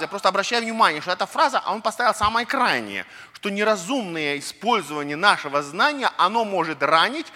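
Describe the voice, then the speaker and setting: A man's voice: sits high, bright, forceful tone. A middle-aged man preaching a conversational sermon, earnest and full of rhetorical questions, sometimes stumbling over words and restarting. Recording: long reverb of a large hall.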